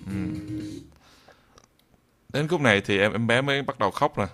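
A man giving an unscripted software tutorial in a hesitant, halting way, often repeating phrases. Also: medium pitch, lively intonation, music in the background. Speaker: video tutorial presenter.

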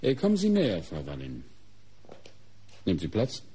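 An actor in a German radio crime drama playing a probing, insistent police inspector who questions in a clipped, exacting way. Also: male voice, deep voice, wildly swooping pitch, moderate pace, warm tone, breathy edge, exaggerated voice. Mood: businesslike.

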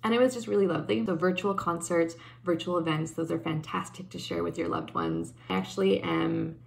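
A woman's voice: lowish voice, expressive, a little fast, vocal fry. A young woman from Canada talking to her webcam in a bedroom, earnest and upbeat, gesturing as she reflects on her plans. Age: young adult. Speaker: vlogger. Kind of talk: casual talk to camera. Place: bedroom indoors.

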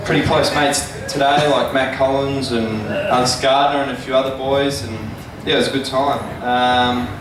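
A man's voice: mid-range voice, fairly even intonation, moderate pace, few pauses. A young man giving a joking, offhand best man speech from notes into a handheld mic at a wedding. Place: large hall at a wedding reception. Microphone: handheld mic through speakers. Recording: echoey hall with crowd noise, from far off. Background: laughing guests.

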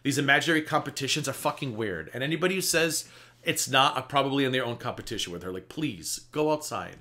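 A man in his thirties riffs through a reaction video with a chatty, sarcastic edge and plenty of swearing. His voice is bright and forward, sitting at a middle pitch, and he is recorded close to the mic indoors. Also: animated, fast. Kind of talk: casual reaction commentary. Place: indoor room before a green screen.

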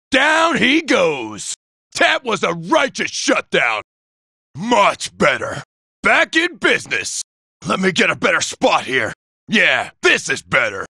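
A man voicing a cocky, aggressive robot soldier for a video game, barking menacing, taunting combat lines in a gravelly, forceful voice. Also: very high-pitched, no room sound, clean studio sound, full character voice.

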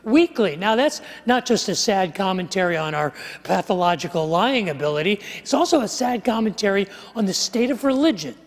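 An older man preaching a sermon, walking through statistics with animated gestures and wry asides, on a headset mic on a large church stage. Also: sits high. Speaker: pastor. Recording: live sermon with long hall reverb.

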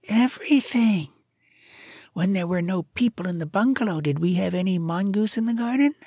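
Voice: medium pitch, moderate pace, warm tone, dry recording, studio quality, voice pushed up, lightly acted. A narrator voicing a scheming female cobra, a commanding wife plotting in a storybook. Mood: insistent. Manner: conspiratorial, commanding.

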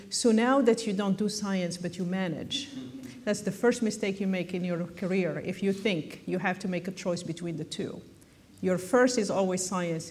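A middle-aged woman from Morocco addresses a hall from a conference panel. She talks in an earnest, encouraging way, circling back and restarting her sentences. Her voice carries a long reverb from the large room. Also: medium pitch. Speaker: panelist, biotech executive.

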